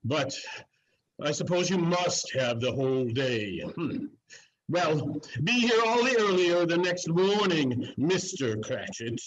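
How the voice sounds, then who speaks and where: Old skinflint, high-pitched, blustery, exclamatory, theatrical, animated intonation. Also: male voice, takes it slowly, muffled recording, a little hiss or hum. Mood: grumpy, sneering. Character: grumpy, miserly old businessman, sneering then terrified. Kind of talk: theatrical dramatic reading.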